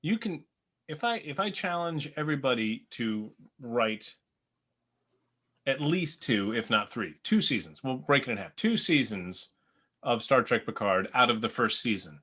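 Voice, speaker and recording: Bright-voiced man chatting on a panel. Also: mid-range voice, animated, measured pace, slightly rough. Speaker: podcast co-host. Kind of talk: casual panel discussion.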